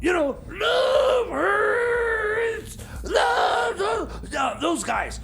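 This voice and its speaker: An excitable male co-host on a comedy radio show who stammers and breaks into shouts. His voice is very high with a gravelly rasp. Faint background noise runs under it. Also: drawn-out words.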